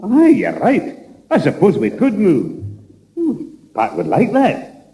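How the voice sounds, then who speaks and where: A man's voice: high-pitched, wildly swooping pitch, takes it slowly, creaky, warm tone, heavily acted. A man with a Scottish accent playing a hearty old grandfather who likes a drink, in a 1960s radio sitcom. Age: older. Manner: hearty, jovial. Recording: echoey old radio studio.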